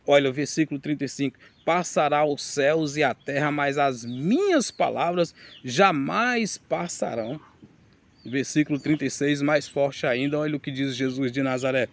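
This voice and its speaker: Gravelly preacher man. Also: a little high. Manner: addresses listeners directly, reads scripture aloud, emphatic. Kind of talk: teaching sermon with Bible reading.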